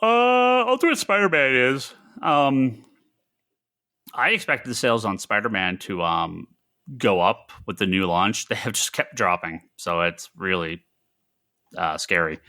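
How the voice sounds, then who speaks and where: A man with a fairly low voice and a bright, forceful edge. He talks informally on a comics podcast, explaining things as a shop retailer would in a casual panel discussion. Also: unhurried.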